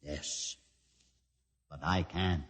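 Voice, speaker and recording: Solemn outcast leader in an echoing hall. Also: male voice, low-pitched, steady tone, exaggerated voice. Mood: grave, guarded. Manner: solemn, deliberate storytelling with dramatic pauses. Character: grave outcast mutant leader with a twisted leg, learned and solemn. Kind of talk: 1950s radio drama acting. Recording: husky old radio sound in echoing hall.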